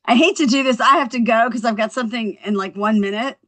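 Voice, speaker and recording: A woman with a fairly high voice talks casually on a group video call. Her style is friendly and conversational. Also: fast talker, a bit bright. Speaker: amateur table-read participant.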